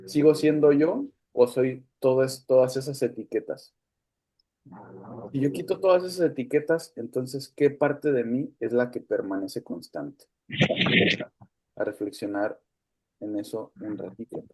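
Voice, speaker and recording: A man teaching a spiritual class over a video call. His voice is mellow and warm, at a middle pitch, calm and measured with reflective pauses. The sound comes through a computer mic, and at times his voice drifts away from it. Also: low-quality recording. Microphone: computer mic on a video call, no headphones.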